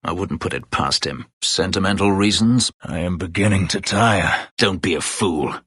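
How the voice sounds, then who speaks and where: A man voicing a brooding, bitter warrior and escaped slave in a video game, guarded and proud, speaking in terse, formal, deliberate phrases with a husky voice. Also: fairly bright tone, no room sound, studio quality. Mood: guarded, dry. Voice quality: husky, low, gravelly-edged voice. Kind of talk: video game character voice lines.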